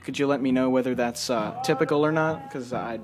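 Casual male hobbyist, mid-pitched, informal home tech demo on a handheld camera, faint music in the background. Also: a little fast. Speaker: hobbyist demonstrating a home studio setup. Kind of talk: casual off-the-cuff tech walkthrough. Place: dim room at a home computer setup. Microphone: handheld camera or phone mic.

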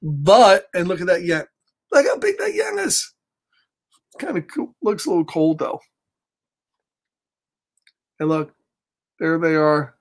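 A middle-aged American man hosting a children's story time, reading a picture book aloud with chatty asides in an animated, exaggerated way. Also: high voice, measured pace, long pauses. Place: small home office, indoors.

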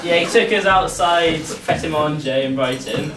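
A man's voice: a little high, normal speed, a bit bright. Casual teenage boy telling a story on stage. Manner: casual, self-deprecating. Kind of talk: nervous live storytelling. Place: small room at a live storytelling night. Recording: echoey room, far camera.

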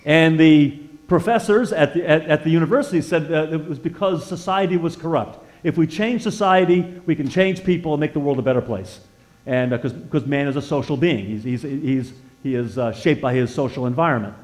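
An American man in his sixties giving an informal sermon with personal testimony as a guest preacher in a church, conversational and halting, repeating words as he feels his way and putting questions to his listeners. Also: fairly high pitch, darkish tone. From northeastern United States. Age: 60s. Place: church sanctuary, indoors, at a lectern.

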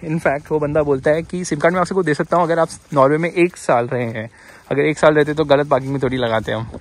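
A man's voice: a little high, fast. A man in his thirties chatting and joking as he films a casual travel vlog, walking outdoors in the cold and through a shop with a handheld camera. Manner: chatty, joking, lively narration while walking and shopping. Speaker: vlogger. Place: outdoors in cold weather, a supermarket, a motorhome. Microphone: handheld action camera.

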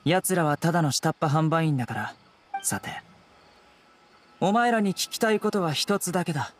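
A man's voice: on the high side, expressive, normal speed, faint music behind, lightly acted. Stern, composed young enforcer voiced by a man. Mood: stern, cool. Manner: composed, blunt, commanding. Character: stern young male law enforcer investigating smugglers. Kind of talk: Japanese video game voice acting.

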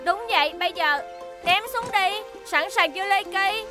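Casting: a cheeky, self-assured cartoon kid, extremely high voice over music. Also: female voice, normal speed. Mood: confident, helpful. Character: confident, cheeky, persuasive little child character. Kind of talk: Vietnamese cartoon dub.

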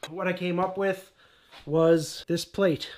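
A man's voice: high-pitched, fairly even intonation, takes it slowly, darkish tone. Chatty man in an echoey garage. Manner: chatty, offhand, with small jokes and asides. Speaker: DIY home gym channel host. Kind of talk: casual how-to vlog. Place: cluttered garage home gym, indoors. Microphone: camera-mounted mic, a few meters off. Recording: echoey garage room with faint noise.

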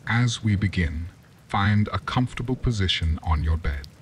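A man narrating a guided sleep meditation in a calm, soothing, gentle instructional manner. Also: on the low side, normal speed, far from the mic, a little hiss or hum.

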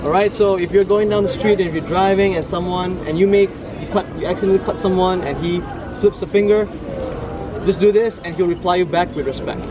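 A man's voice: high voice, steady tone, brisk, over music. A young man in his twenties from Malaysia, leading a tour group outdoors in a playful, joking way, calling the group "family". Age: 20s. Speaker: tour guide. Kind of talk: guided tour talk. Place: outdoor cultural park with food trucks. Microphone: camera a few steps away.

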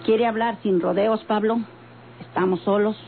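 A woman's voice: mid-range voice, fairly even intonation, normal speed, lightly acted. An actress playing a stern, bitter motel-owning mother in an old radio soap, blunt and melodramatic, with a northern Mexican accent. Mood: wary, probing. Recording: tinny, noisy vintage radio recording.

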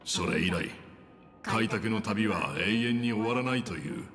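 An actor playing a gentle, polite old traveler in a video game, speaking in a measured, reflective way. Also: male voice, on the low side, animated. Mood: solemn, gentle. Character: gentle, polite old male trailblazer looking back on life. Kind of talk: Japanese game voice acting. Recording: long reverb, clear music behind.

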